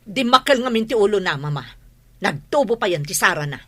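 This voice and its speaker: A woman plays an aggrieved, stubborn grown daughter in a radio drama. She complains insistently in an Ilocano accent, her pitch swinging widely. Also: expressive, fairly quick, sharp tone.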